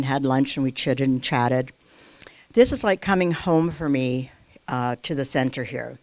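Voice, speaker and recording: A woman's voice: sits low, dry recording. Chatty woman speaking at a spiritual center. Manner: chatty, warm-hearted, loses her place and corrects herself. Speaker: guest minister at a spiritual center. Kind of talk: casual announcement to a congregation. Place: church hall. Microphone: handheld mic.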